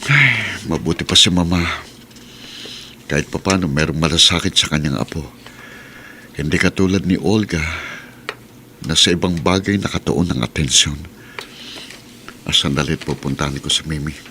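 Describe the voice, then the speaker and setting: Weary nagging husband, Filipino accent, low pitch, grumbling, radio drama, slight background noise. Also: male voice, normal speed, pauses often, pushed and bright, exaggerated voice. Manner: grumbling, reproachful. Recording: noisy radio drama recording.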